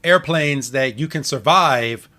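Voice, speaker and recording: A man's voice: medium pitch, slow, sharp tone. A man on a podcast panel, casually recapping and joking along. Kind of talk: casual episode recap discussion.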